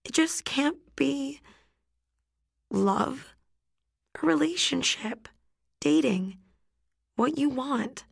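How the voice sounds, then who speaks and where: A woman reading an audiobook, voicing a gentle teenage girl who speaks softly and sounds close to tears. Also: high voice, lively intonation, very slow, creaky, pauses often, no room sound, voice pushed up, heavily acted. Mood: gentle, pleading. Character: gentle teenage girl, tender but resolute. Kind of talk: audiobook character voice.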